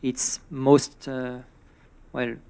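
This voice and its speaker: Flat-voiced man commenting from the audience. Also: mid-range voice, drawn-out words, vocal fry, smooth. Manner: tentative, thinking aloud. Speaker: audience member. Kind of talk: question from the floor.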